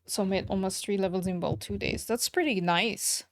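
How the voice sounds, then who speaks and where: A woman's voice: mid-range voice, normal speed, no room sound, clean studio sound. A woman game streamer chatting casually with her viewers. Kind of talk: casual livestream chat. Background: soft background music.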